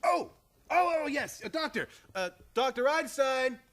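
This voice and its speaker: A man's voice: very high voice, animated, a little slow, a bit bright, heavily acted. A man acting a flustered nephew who nervously placates his eccentric family in a radio play comedy.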